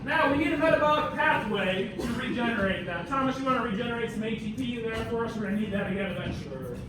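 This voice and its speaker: A man's voice: very high voice, expressive, fast talker, over a noisy crowd. An American man teaching as a university instructor, informal and theatrical, directing students and quizzing them with questions. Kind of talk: animated classroom lecture with questions and directions. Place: indoor university classroom during a live demonstration. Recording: echoey room, far mic, noisy.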